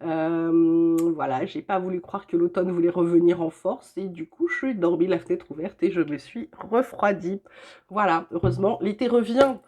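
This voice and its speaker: A woman's voice: on the low side, moderate pace, mellow. An older woman with a cold, reading weekly tarot guidance from home in a chatty, reassuring way with frequent hesitations. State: has a cold. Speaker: tarot reader.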